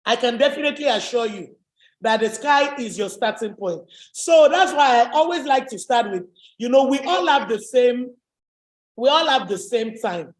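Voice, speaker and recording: Woman, deep voice, emphatic motivational coach, echoey room. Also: expressive, moderate pace. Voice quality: deep, low voice. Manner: emphatic, repetitive, rallying. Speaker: webinar host and rhinestone business coach. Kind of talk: motivational webinar talk.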